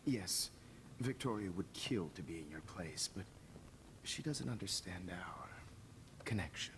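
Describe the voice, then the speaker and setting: An actor playing a pompous, calmly menacing photographer villain in a video game, gloating softly over noisy captured audio. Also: male voice, deep voice, unhurried. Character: smug, calmly menacing photographer kidnapping his students.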